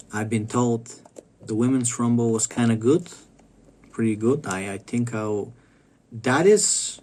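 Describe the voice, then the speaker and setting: A raspy man with a Bulgarian accent delivers a blunt, provocative rant. His voice sits at a middling pitch, his throat is scratchy, and faint noise hums behind him. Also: a little mellow. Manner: blunt, provocative, repeats himself. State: coughing, throat clearing. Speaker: wrestling commentator vlogger. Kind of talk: casual opinionated rant.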